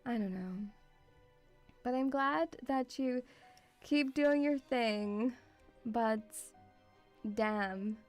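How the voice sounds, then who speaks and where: A woman with a mixed European accent touched by Scottish, chatting casually as a livestream host in an excitable, rambling, sweary way. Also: fairly high pitch, very slow, long pauses, faint music behind. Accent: mixed European with Scottish influence. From Lithuanian-Russian background, partly grew up in Scotland.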